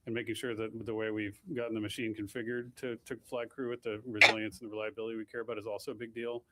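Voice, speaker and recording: A man answering questions at a press briefing as a launch official, quick and full of restarts. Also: fairly low pitch, flat delivery, background noise.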